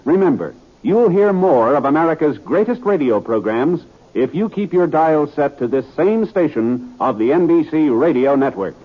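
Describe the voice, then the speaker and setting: A man's voice: on the high side, lively intonation, normal speed, few pauses. Polished 1950s radio announcer, man. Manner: polished, formal announcer delivery. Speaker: network announcer. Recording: tinny radio transcription with music.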